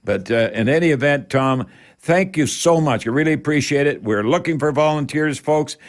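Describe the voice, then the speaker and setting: A man's voice: a little high, normal speed. An older Canadian man hosting a home improvement call-in radio show in a folksy, rambling, emphatic way, speaking straight to his listeners.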